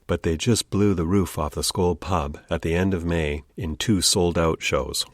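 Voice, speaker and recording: A man's voice: deep voice, fairly even intonation, a little slow, no echo, clean studio sound. A man hosting a podcast in a casual, chatty narration. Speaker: podcast host.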